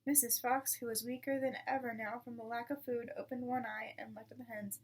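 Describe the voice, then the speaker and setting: A woman's voice: a little high, level tone, brisk. A woman reading a children's book aloud as the narrator. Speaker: storybook reader. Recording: distant mic with clear background noise.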